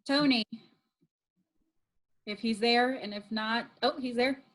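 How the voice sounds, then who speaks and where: A woman's voice: on the high side, steady tone, poor audio. Upbeat woman moderating a video call. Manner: upbeat, brisk, calls on people by name. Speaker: meeting moderator.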